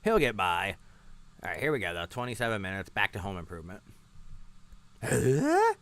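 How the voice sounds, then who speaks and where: A man's voice: lowish voice, wildly swooping pitch, moderate pace, a bit bright. A man hosting a livestream, giving casual, rambling reaction commentary in a blunt, sarcastic, sweary manner. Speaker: livestream host.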